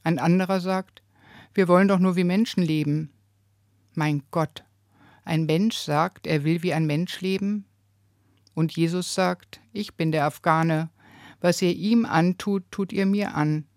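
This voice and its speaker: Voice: mid-range voice, steady tone, measured pace, no echo, clean studio sound. A radio preacher delivering a scripted devotional in an earnest, emphatic way, with rhetorical questions and short phrases.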